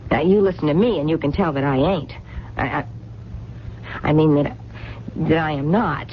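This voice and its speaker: A woman's voice: sits low, moderate pace, close to an everyday voice. An actress playing a wistful, kindly, uneducated woman in a 1970s radio drama, speaking plainly and hesitantly with earnest, colloquial phrasing. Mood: impressed, frank. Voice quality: colloquial, unschooled speech. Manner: hesitant, earnest, self-correcting. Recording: dull, noisy old radio recording.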